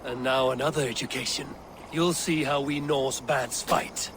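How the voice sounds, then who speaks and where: An actor playing a loyal, commanding Viking war leader in a video game, measured in manner. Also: male voice, medium pitch, steady tone, lightly acted. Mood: steady, rallying. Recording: noisy outdoor ambience behind.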